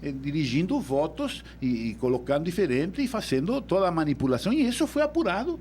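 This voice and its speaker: A man's voice: on the high side, sing-song, a little fast, darkish tone, slight background noise. A man from Uruguay with a Uruguayan Spanish accent, a law professor explaining things as a guest in a radio studio, often repeating words while he thinks.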